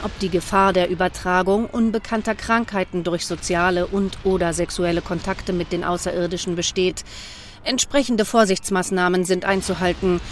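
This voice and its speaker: A woman reading scripted narration in a measured, official, matter-of-fact manner. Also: fairly low pitch. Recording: faint background noise.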